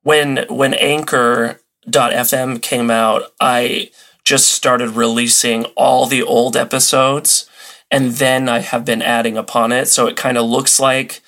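A man's voice: medium pitch, little pitch movement, a little slow, fairly bright tone. A man chatting casually and enthusiastically as a podcast guest in an interview.

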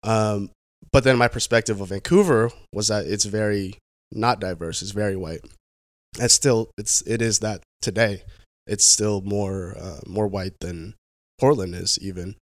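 Halting, low-voiced young man, dry sound. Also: fairly even intonation, dry recording. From Pacific Northwest, United States. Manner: halting, with frequent restarts and fillers. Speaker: guest. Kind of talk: casual conversational interview.

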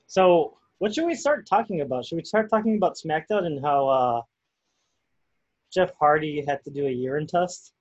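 A man's voice: fairly high pitch, lively intonation, moderate pace, muffled recording. A man with a Chicago accent co-hosting a podcast, talking bluntly and with profanity in casual chat. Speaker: podcast co-host.

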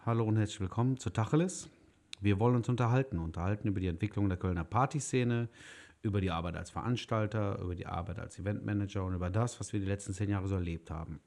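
A man's voice: fairly low pitch, vocal fry, darkish tone. A man in his thirties hosting a casual podcast, asking questions and slipping in teasing jokes. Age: 30s. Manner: chatty, teasing with quick jokes. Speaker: podcast host.

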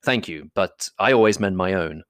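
A reader voicing a proud, reserved gentleman in a classic novel audiobook, with measured, formal speech. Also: low-pitched, smooth, no echo, clean studio sound, voice pushed down. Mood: cool reserve.

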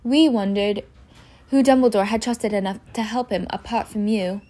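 A woman's voice: medium pitch, moderate pace, lightly acted. A woman voicing a clever, persistent schoolgirl in a storybook, with a coaxing and pointed manner. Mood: coaxing, flattering. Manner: flattering, pointed.

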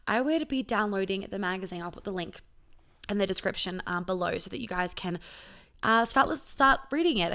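A woman's voice: mid-range voice, fairly bright tone. Chatty, foul-mouthed young woman. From born in Australia, raised in New York, Sydney and Melbourne. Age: young adult. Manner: chatty and candid, swears freely, lots of "like" fillers. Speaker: podcast host and intimacy coach. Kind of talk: casual solo podcast monologue.

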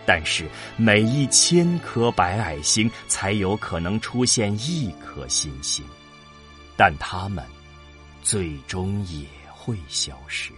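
Male narrator for sci-fi radio drama. Deep, solemn, deliberate delivery with wide dramatic swings. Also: very animated, fairly bright tone, soft background music. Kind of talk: dramatic scripted science fiction narration.

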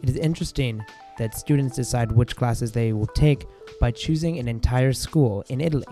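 A young man hosting a student podcast, reading scripted linking narration in a measured way. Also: medium pitch, mellow, faint music behind. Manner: measured, reads linking lines. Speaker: host.

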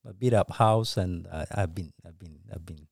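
Podcast guest: man from Ethiopia, 40s, very low voice, dry close sound, halting with fillers. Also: creaky, a little mellow, slightly rough, no room sound. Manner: hesitant, many fillers, laughs often. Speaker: podcast guest, church planter. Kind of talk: casual interview chat.

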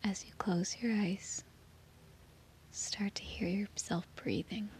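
A woman guiding a children's sleep meditation in a soothing, gently reassuring manner. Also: mid-range voice, fairly even intonation, slow pace, whispered. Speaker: meditation guide.

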